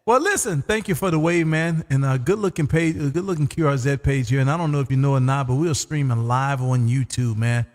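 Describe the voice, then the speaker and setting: A man hosting a live ham radio stream, chatting casually and folksy, his voice going hoarse. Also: medium pitch, brisk, few pauses, in a large hall. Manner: laid-back, folksy, says "man" often. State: losing his voice. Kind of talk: casual on-air radio chat.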